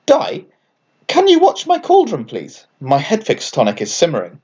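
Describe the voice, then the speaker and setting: A man voicing a busy, excitable female witch who brews potions in a children's bedtime story. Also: sits high, moderate pace, husky, muffled recording, pitched above the performer's own voice, full character voice. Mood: polite. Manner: excitable, bright-spirited.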